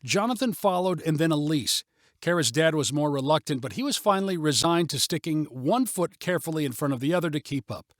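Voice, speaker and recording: Lively male story narrator, fairly high voice. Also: a little fast, no room sound, clean studio sound. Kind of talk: scripted audiobook narration.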